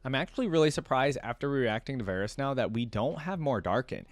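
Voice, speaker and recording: A man's voice: mid-range voice, animated, brisk, no echo. A man hosting a reaction video, giving casual, rambling commentary in a conversational way.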